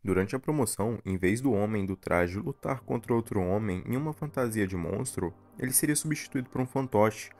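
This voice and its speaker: A man with a Brazilian accent narrates a scripted horror recap. His voice sits on the low side. Music plays behind him as he reads. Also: lively intonation, brisk, hardly any pauses, a little mellow. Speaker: video narrator.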